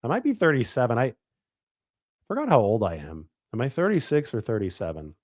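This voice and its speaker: A man in his thirties talking through a football analysis on his own show, quick and analytical, often restarting and correcting himself mid-sentence. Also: mid-range voice, huge pitch swings, darkish tone, no room sound, clean studio sound. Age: 30s. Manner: quick analytical delivery with frequent self-corrections. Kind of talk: sports analysis monologue.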